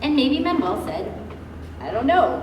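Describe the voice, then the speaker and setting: A young woman acting a patient, matter-of-fact, gently teasing wife in an amateur stage comedy, with deliberate, projected delivery. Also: sing-song. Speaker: actor. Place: indoor stage, recorded from far off. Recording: distant, echoey, noisy low-quality stage recording.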